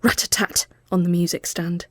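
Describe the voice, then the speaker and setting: A woman reading a literary ghost story as scripted narration, measured and often hushed as phrases end. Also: lowish voice, steady tone, breathy edge, no echo.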